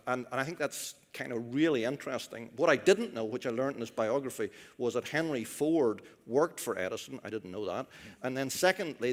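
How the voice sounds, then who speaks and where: A man moderating a conference panel, chatty and enthusiastic, asking the panelists questions. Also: mid-range voice. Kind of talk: panel discussion. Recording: reverberant conference hall.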